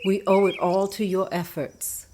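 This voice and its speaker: A woman's voice: medium pitch, flat delivery, a little slow, a little mellow, a little hiss or hum, natural voice. A woman reading the part of a kind, admiring neighbor in a table read, earnest and respectful.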